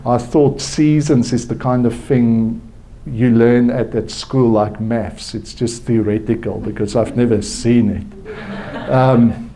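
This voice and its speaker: Slow South African man telling a story. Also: mid-range voice, dark tone. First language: Afrikaans. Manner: slow, halting, reflective with frequent restarts. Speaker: conference speaker. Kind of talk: personal storytelling talk. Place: conference hall.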